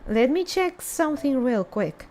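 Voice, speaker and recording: A woman's voice: sits high, sing-song, measured pace, darkish tone. A woman streaming a casual readalong of a fantasy game, reacting aloud between the passages.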